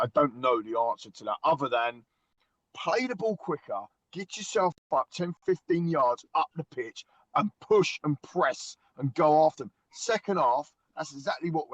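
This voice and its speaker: A man who is a season-ticket-holding football fan, hoarse from singing, talking excitedly in a casual podcast chat and tripping over quick restarts. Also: a little high, no room sound. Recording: dull lo-fi dry sound.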